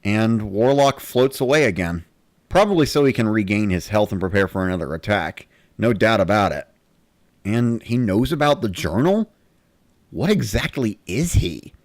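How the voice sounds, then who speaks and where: A man narrating in the first person with an expressive, dramatic delivery. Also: lowish voice, normal speed, dry recording, clean studio sound. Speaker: narrator of a dramatic reading series.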